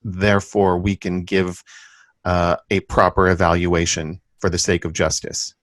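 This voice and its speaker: A man hosting an interview podcast, speaking formally and deliberately in halting phrases. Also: deep voice, level tone, a bit bright, no room sound. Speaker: podcast host. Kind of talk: measured interview talk.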